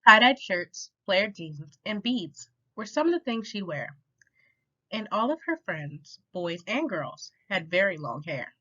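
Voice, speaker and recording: A woman reading a children's rhyming picture book aloud in an expressive, clearly paced way, as a storytime reader. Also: medium pitch, unhurried. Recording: dull lo-fi sound.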